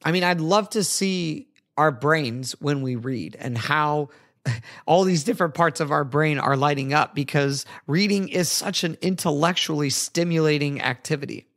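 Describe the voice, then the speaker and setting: A man hosting his own podcast, talking solo in an earnest, chatty way with a hoarse, raspy voice from losing it. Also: a little high, normal speed, fairly bright tone. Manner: earnest, chatty, self-correcting. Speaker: podcast host.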